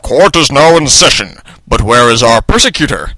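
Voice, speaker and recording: A man voicing a stern, formal courtroom judge in a comic story, deliberate and commanding. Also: mid-range voice, expressive, pushed and bright, no room sound, low-quality recording. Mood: official.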